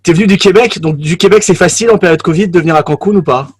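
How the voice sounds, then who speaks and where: Un homme qui mène une entrevue décontractée et pose ses questions rapidement. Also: male voice, sits high, husky, dry recording. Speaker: interviewer. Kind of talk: casual interview.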